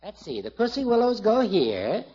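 Fussy old American judge: sentimental, theatrical, very animated, on muffled 1950s radio. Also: male voice, high voice, moderate pace. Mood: blithely pleased. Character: fussy, sentimental old male judge who hoards clutter. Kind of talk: 1950s radio comedy acting.

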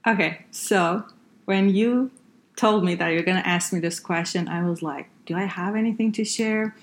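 A woman from Iran, Farsi her first language, a guest in a casual podcast interview, speaking reflectively with hesitations and soft laughs. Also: fairly low pitch, moderate pace. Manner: reflective, hesitant with many fillers, laughs softly. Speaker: guest engineer.

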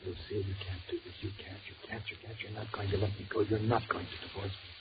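Casting: male actor for desperate pleading husband, 1940s radio drama; narrow pitch, muffled, distant sound. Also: flat delivery, fast talker, noisy background, close to an everyday voice. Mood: despairing. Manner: pleading, frantic, repetitive. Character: desperate, self-pitying husband, raving inner voice. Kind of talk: 1940s radio horror acting.

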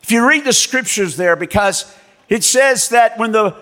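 A man preaching a sermon as pastor and missionary, telling stories with animated delivery and acting out the people in them. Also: high voice, moderate pace. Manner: animated storytelling preacher, acts out voices in quotes. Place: church. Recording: long reverb of a church hall.

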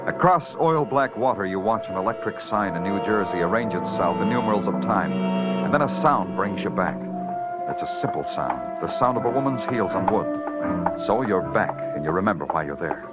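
A man's voice: low-pitched, expressive, normal speed. A muffled vintage radio drama with music around the voice. a hard-boiled, weary detective with a widely swinging delivery. He is terse, dry and brooding. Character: weary, curt, hard-boiled male New York police detective. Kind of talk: 1940s radio crime drama acting and moody narration. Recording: dull old radio recording with music.

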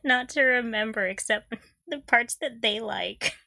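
A woman's voice: a little high, measured pace, vocal fry, pushed and bright, no room sound. A young woman co-hosting a podcast in casual fan chat, playful and gushing, often giggling through her words. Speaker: podcast co-host. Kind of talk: casual fan discussion of audio dramas.

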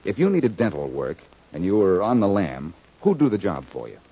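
A confident American amateur sleuth from a vintage radio mystery. His voice is low and dark, swinging widely in pitch as he fires off commands and wisecracks. The recording is old and dull. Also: big pitch swings, normal speed, breathy edge, full character voice. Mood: brisk, intent. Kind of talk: 1940s radio detective acting.

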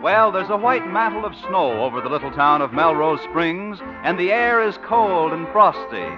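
A man's voice: sits high, lively intonation, normal speed. A man announcing and narrating a scripted 1950s radio show. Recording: muffled old radio recording. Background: prominent music.

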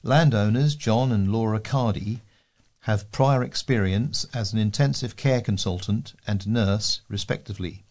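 A man's voice: fairly low pitch, unhurried, a little mellow. A man with a British accent reads local news articles aloud as a volunteer newsreader.

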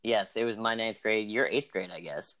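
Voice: medium pitch, normal speed, a bit bright. Podcast host, 20s; casual, teasing, friendly interviewer. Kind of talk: casual chat.

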